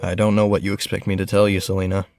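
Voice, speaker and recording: A man's voice: low voice, level tone, quick pace, darkish tone, no echo. A man playing a guarded, stubborn rich teenage boy in an audio drama, speaking tersely and hesitantly. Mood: guarded, troubled. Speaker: voice actor.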